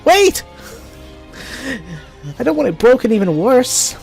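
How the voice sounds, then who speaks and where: A man's voice: extremely high pitch, sing-song, slow pace, gravelly, a little mellow, soft background music, voice pushed up, full character voice. A man reading game dialogue aloud as a flustered, embarrassed handy villager, fretful and pleading.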